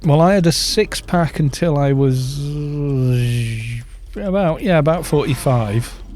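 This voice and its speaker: British guy in his 50s rambling away on a game stream, soft dark voice, reads chat out. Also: fairly high pitch, slow. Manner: rambling, chatty, reads viewer chat aloud. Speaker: gaming streamer. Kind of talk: casual stream chat while gaming.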